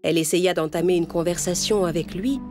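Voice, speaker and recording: A woman's voice: on the low side, fairly even intonation, fairly quick, darkish tone, soft background music. A woman storyteller reading a scripted children's tale as narration.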